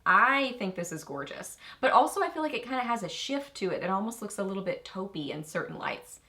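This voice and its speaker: A woman's voice: medium pitch, brisk. Bubbly Texan woman reviewing makeup. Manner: bubbly, chatty, easily excited, with rambling asides. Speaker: beauty vlogger.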